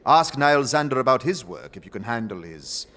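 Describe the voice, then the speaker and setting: A man's voice: mid-range voice, very animated, moderate pace, a bit bright, in a large hall, faint music behind. A man voicing a sturdy, jovial older faction leader in a fantasy audio drama, with grand, expansive, theatrical phrasing. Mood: genial, boastful.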